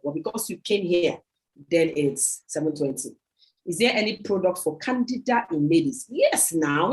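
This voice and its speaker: A woman hosting a video call meeting, giving an emphatic, repetitive sales pitch and taking questions. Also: poor audio. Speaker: host of a product sales meeting. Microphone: computer mic on a video call.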